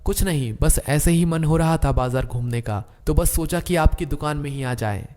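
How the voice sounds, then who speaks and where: Cartoon story role: greedy young hustler son, played by a man, fairly high voice, smooth-talking and boastful. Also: normal speed, a little mellow, close to an everyday voice. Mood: casual, curious. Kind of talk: Hindi animated moral story dialogue.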